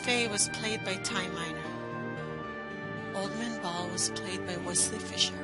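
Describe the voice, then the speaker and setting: A woman's voice: mid-range voice, measured pace. A woman reading scripted credits as an announcer for an audio drama. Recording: loud music under the voice.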